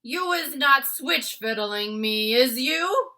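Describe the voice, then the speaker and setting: A hulking, greedy giant, put on by a woman reader, who booms out his broken grammar slowly and menacingly. His voice swoops up and down and rings out loud and bright. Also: expressive, heavily acted. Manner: booming slow ungrammatical growl. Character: huge greedy male giant, suspicious and menacing.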